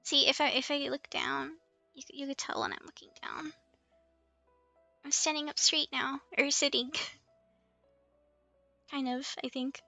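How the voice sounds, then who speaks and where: A woman's voice: high-pitched, steady tone, measured pace, creaky, pauses often, bright, forceful tone, dry recording, soft background music. A woman livestreaming a game in casual chat, playful and giggly as she comments and reads out dialogue. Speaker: livestream host playing an online game.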